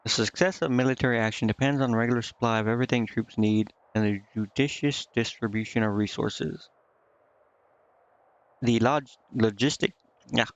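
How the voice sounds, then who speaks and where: A man streaming a game, casually reading on-screen text aloud and thinking out loud in a halting, puzzled mutter. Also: mid-range voice, creaky, dull audio. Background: game music.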